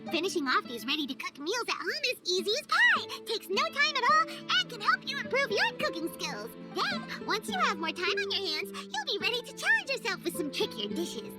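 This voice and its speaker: A woman voicing a tiny, excitable fairy companion in a video game, chirpy and squeaky like a child. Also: a little fast, hardly any pauses, exaggerated voice. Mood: cheerful sales pitch. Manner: chirpy, refers to herself in third person. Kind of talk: animated game cutscene acting. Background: music.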